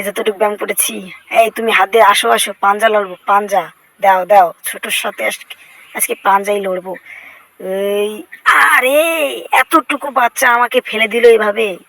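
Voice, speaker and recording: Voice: mid-range voice, creaky, sharp tone, no room sound, low-quality recording. A voice actor dubbing a boastful, vengeful cartoon cat in speech, exclaiming, whining and bragging to himself in a comic fan-dub style. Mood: determined, then indignant, vengeful.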